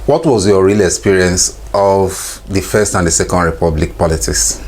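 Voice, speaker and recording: A man interviewing a guest, asking formal, measured questions. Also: sits low, a little mellow, slight background noise.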